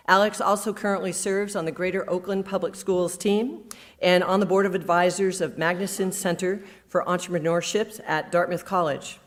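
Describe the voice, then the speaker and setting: Formal woman host introducing a speaker. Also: fairly low pitch. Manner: formal, measured, read from a script.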